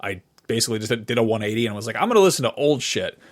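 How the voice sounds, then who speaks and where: A man's voice: lowish voice, very animated, very fast. Casual, profane man chatting. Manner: informal, profane. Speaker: podcast co-host. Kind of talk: casual chat, reminiscing about music.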